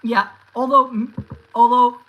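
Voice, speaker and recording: A man's voice: very high voice, little pitch movement, a little slow, narrow, tinny audio. A man in his thirties chatting casually on a livestream and answering viewers, rambling with many restarts and fillers. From United States. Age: 30s. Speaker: YouTube host answering live chat.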